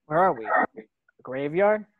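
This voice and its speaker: A man's voice: fairly high pitch, lively intonation, measured pace, no echo, phone-quality sound. A man playing a terse, brave schoolboy wizard in a screenplay table read. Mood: uneasy.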